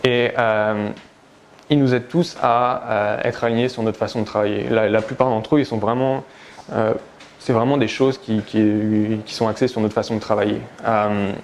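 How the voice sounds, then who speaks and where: A man's voice: fairly low pitch, little pitch movement, normal speed. A man in his thirties giving an informal presentation as a product designer, hesitant and searching, dropping in English jargon. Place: indoor room by a street-facing window. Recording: clear background ambient noise.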